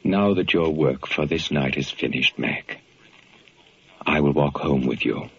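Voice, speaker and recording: Deep, solemn, fatherly sage. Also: creaky, breathy edge, full character voice. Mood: exalted, grave. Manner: grave, measured, preacherly oratory. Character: solemn, saintly ambulance partner with timeless fatherly wisdom. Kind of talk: 1940s radio drama acting. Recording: muffled old-time radio recording, faint music.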